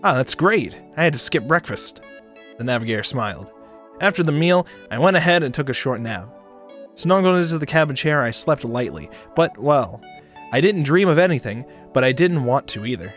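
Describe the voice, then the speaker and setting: A man's voice: medium pitch, animated, fairly quick. A man streaming a visual novel read-aloud, narrating expressively and breaking into playful asides. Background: game music.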